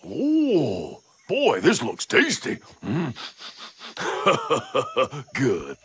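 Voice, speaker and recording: A man's voice: mid-range voice, huge pitch swings, extremely slow, a bit bright, slightly rough, exaggerated voice. A man voicing a big, contented bear in a cartoon dub, languid and savoring. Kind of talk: animated cartoon dub acting.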